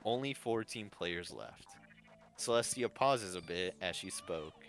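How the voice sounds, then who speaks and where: A man with a fairly low voice reads a fan-fiction story as narrator and host. Faint music plays behind him. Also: lively intonation, unhurried, fairly bright tone.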